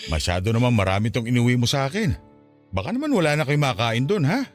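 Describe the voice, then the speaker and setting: A man acting a poor, patient and devout father in a Filipino radio drama, earnest and gently pleading. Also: mid-range voice, sing-song, fast, soft background music, lightly acted. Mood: concerned.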